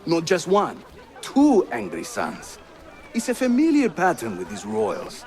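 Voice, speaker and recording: A man's voice: a little high, unhurried. A man with a Turkish accent playing a jovial, teasing, hospitable Ottoman assassin leader in a video game. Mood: grave, explanatory. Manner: animated, theatrical, teasing. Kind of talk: video game dramatic acting.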